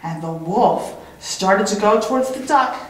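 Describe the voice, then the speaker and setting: A woman reading a children's story as a teacher, in an expressive, animated way with dramatic pauses and repetition. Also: mid-range voice, slight background noise. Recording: very echoey room.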